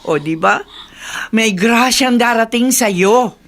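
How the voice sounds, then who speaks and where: A woman's voice: fairly high pitch, takes it slowly, a bit bright, slight background noise. An actress playing a chatty, money-minded neighbour woman in a radio soap drama, coaxing and persuasive. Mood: coaxing, upbeat.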